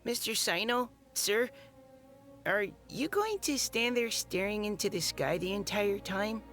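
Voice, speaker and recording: A woman's voice: medium pitch, expressive, unhurried, a bit bright. A woman voicing a shy, flustered young woman in an anime game, polite and awkward, with hesitant, stammering speech. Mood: nervous, polite. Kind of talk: anime video game voice acting. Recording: music behind the voice.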